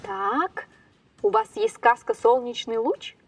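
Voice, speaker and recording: A woman playing a lively, playful woman turned into a train in a children's fairy-tale podcast. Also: high-pitched, takes it slowly, vocal fry, recorded from a distance, full character voice. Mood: brisk, eager. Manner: animated, exclamatory, playful.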